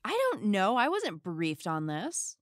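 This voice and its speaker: Woman acting a wry, expressive sidekick. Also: mid-range voice, a little slow, no echo, studio quality. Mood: exasperated. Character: exasperated, wry, caring female friend of detective. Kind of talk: comedy audio drama acting.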